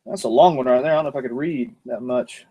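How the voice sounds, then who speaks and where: A man's voice: mid-range voice, quick pace, dark tone, breathy edge. Rambling man grilling outdoors. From North Georgia, USA. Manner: rambling, jokey, talks while working the grill. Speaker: cooking show host. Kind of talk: casual cooking vlog.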